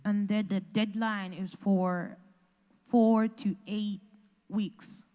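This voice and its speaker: A woman interpreting consecutively into English, halting and restarting as she translates. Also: mid-range voice, little pitch movement, dark tone. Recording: echoey hall.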